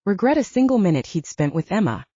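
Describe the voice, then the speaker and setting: A woman's voice: medium pitch, very animated, fast talker, dark tone, husky, no echo, dull audio. A woman narrating a scripted novel as an audiobook reader.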